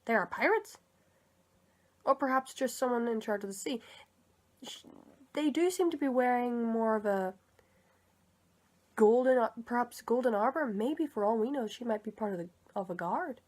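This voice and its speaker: A woman's voice: a little high, moderate pace, pauses often, a little mellow. A young woman giving casual, unscripted commentary in a tentative, musing way, as if thinking aloud. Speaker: vlogger sharing opinions on film characters.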